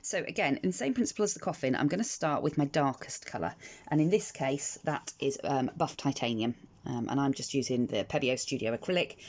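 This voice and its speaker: A British woman sounding croaky in the morning, chatting her way through a craft tutorial with frequent ums and restarts. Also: very deep voice, fairly quick, darkish tone. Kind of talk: casual step-by-step craft tutorial. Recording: slightly dull lo-fi audio with faint noise.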